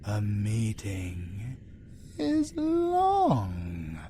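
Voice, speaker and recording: A man's voice: lowish voice, huge pitch swings, dark tone, a little hiss or hum, exaggerated voice. A British man playing a vengeful, doting alpha werewolf in an audio roleplay, with a dramatic delivery. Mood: tender, commanding. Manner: slow, dramatic, wildly swooping delivery.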